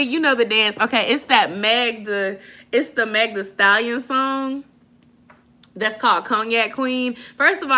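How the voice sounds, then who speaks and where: A woman in her late twenties chatting casually with viewers from home, answering comments and laughing a lot. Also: on the high side. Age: 20s. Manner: animated, laughing often, slangy. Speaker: vlogger chatting with live viewers. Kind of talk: casual live chat, reading comments.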